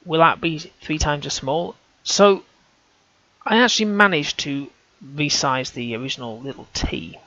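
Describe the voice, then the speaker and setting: Gravelly man musing aloud, lo-fi sound. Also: a little high, measured pace, sharp tone, no echo. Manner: hesitant, self-correcting, casual. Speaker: amateur researcher. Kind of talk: thinking-aloud commentary over on-screen images.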